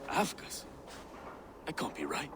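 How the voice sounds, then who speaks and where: A man's voice: slow, natural voice. Man acting a grave, troubled pious nobleman. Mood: concerned. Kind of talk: dramatic video game acting. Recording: clear ambient noise behind voice.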